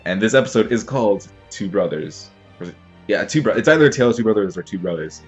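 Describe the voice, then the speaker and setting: Low-voiced man rambling through a reaction. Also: fast talker, muffled recording, soft background music. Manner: rambling, excitable, many fillers and restarts. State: slightly stuffy from a cold. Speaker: anime reaction host. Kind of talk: casual reaction commentary.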